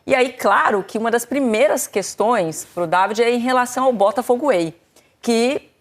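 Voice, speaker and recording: A woman's voice: on the high side, normal speed. Woman presenting football talk. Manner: explains at length, summarizing and paraphrasing. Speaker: sports TV presenter. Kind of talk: studio football discussion.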